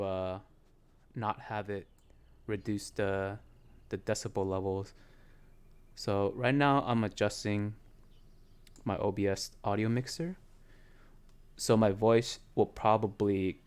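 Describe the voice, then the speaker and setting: A man with a very low voice chatting on a live stream while gaming, rambling and hesitant with many fillers. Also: slight background noise. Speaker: game streamer. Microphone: desk mic.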